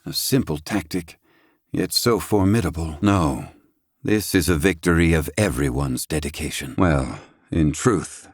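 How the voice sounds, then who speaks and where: A proud, gruff but kindly older mage from a fantasy video game. His voice sits low and speaks in stately, bookish sentences that often end on a clipped questioning tag. The sound is dry, with no room around it. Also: unhurried, no echo, heavily acted. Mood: grave, measured. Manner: formal, wordy, archaic phrasing with clipped questioning tags. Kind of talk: English-dub video game voice acting.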